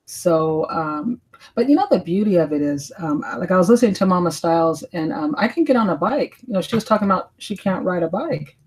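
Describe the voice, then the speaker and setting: A woman in her fifties chatting casually as a panelist, telling personal stories in a folksy, halting way with repeated phrases. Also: lowish voice, animated, a little mellow, slightly rough, poor audio. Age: 50s. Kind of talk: casual conversation, personal storytelling.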